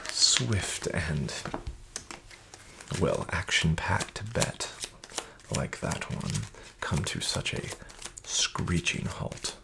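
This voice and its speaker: A man in a soft-spoken ASMR roleplay as a casino dealer, half-whispering smooth, flattering patter. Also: low-pitched, background noise.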